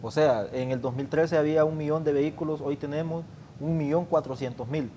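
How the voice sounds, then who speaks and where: A man's voice: a little high, steady tone, fairly quick, warm tone. A man on an interview panel explaining traffic enforcement in an earnest, explanatory way, often repeating words. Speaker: traffic official guest. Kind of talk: interview, arguing a point.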